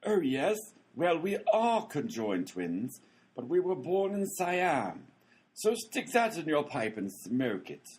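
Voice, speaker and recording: A performer acts out a cranky postal clerk in a radio comedy, with a husky voice that swings widely in pitch. The delivery is flippant, sarcastic and theatrical, with impatient jabs. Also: very animated, slow pace, a little mellow, exaggerated voice.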